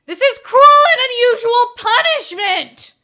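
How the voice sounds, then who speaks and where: A woman voicing an outraged imprisoned male villain who shouts forcefully in a story reading. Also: very high voice, animated, unhurried, voice pushed up, full character voice.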